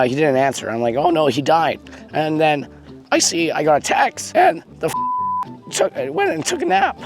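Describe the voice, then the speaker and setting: Hoarse woman joking on a road trip. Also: low-pitched. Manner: joking, animated, self-mocking. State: hoarse, losing her voice. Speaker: travel vlogger. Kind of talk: casual on-camera vlogging.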